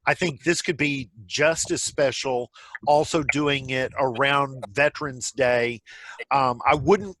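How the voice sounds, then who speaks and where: A man's voice: mid-range voice, slow pace, a bit bright, a little hiss or hum, poor audio. A man casually leading a group discussion on a video call.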